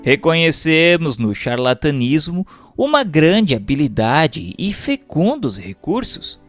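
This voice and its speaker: A Brazilian man reads a formal text as a scripted narration, in a measured and carefully phrased manner. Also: on the high side, big pitch swings, faint music behind. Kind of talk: scripted audiobook-style reading of a doctrinal text.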